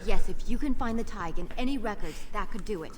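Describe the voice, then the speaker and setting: A woman's voice: mid-range voice, expressive, brisk, natural voice. Woman playing shy young dwarven researcher, noisy backdrop. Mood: hopeful. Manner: hesitant, apologetic, hopeful. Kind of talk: fantasy video game voice acting. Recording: game audio with clear background noise.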